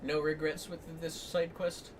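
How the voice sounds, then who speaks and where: A man's voice: fairly high pitch, level tone, measured pace, noisy background. A man chatting casually on a livestream and reading game text aloud with playful, exaggerated delivery. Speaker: livestream co-host. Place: home office. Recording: distant mic with music behind. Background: music.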